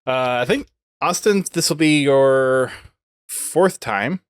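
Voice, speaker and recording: Male podcast host, mid pitch, casual interviewer tone, dry recording. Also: slow pace, smooth, dry recording.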